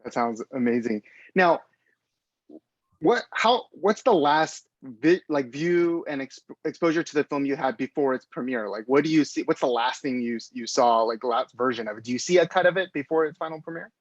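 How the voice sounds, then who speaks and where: A man's voice: medium pitch, animated, normal speed, no echo, lo-fi sound. A man in his forties interviewing a guest, enthusiastic, often restarting and rephrasing his questions. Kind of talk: casual interview.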